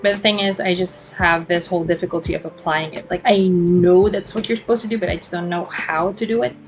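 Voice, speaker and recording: A woman's voice: lowish voice, steady tone, brisk, music in the background. A young woman, hosting a casual solo podcast, talking in a rambling, reflective way with many fillers and self-corrections. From Philippines. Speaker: podcast host.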